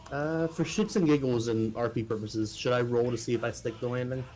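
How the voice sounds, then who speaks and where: A man's voice: medium pitch, fairly even intonation, a little fast, a little mellow, rough recording. Casual male gamer, faint guitar music behind. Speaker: tabletop game player. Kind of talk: casual game chat, improvised description of his character's moves.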